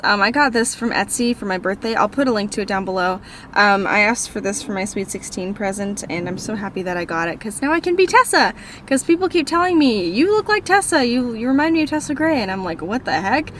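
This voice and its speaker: A woman's voice: a little high, lively intonation, fairly quick, a bit bright, a little hiss or hum. Bubbly teen girl with Minnesota accent. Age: teens. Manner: bubbly, rambling, self-deprecating. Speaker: book vlogger. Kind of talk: casual Q&A vlog. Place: in a parked car.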